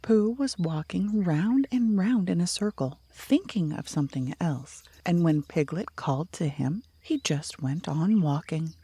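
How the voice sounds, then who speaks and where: Mellow, low-voiced woman storybook narrator. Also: expressive, unhurried, hardly any pauses, husky. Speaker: audiobook narrator.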